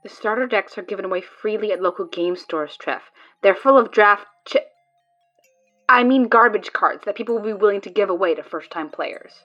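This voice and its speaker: A woman's voice: medium pitch, normal speed, a bit bright, highs cut off, faint music behind, lightly acted. A blunt young companion, voiced by a woman lays things out plainly. Her manner is matter-of-fact. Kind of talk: fan audio drama acting.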